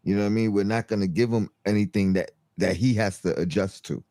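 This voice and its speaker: A man with a low, mellow voice talking basketball in a loose, halting way, full of restarts and fillers. The recording is dry and close. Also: fairly even intonation, dry recording. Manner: halting, many restarts and fillers, repeats "you know what I mean". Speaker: co-host, sports analyst. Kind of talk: casual sports talk.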